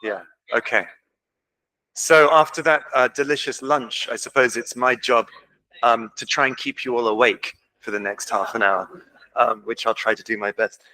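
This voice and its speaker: A man's voice: medium pitch, normal speed, sharp tone, low-quality recording. A man with an English accent, giving an informal academic lecture at a conference, self-deprecating and full of ums. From England. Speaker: conference speaker. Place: lecture hall.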